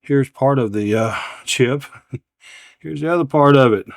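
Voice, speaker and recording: A man's voice: medium pitch, measured pace, vocal fry, no echo, studio quality. A man hosting a repair demonstration, talking casually and unscripted, partly to himself as he works at a bench. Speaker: repair channel host. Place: indoors at an electronics workbench.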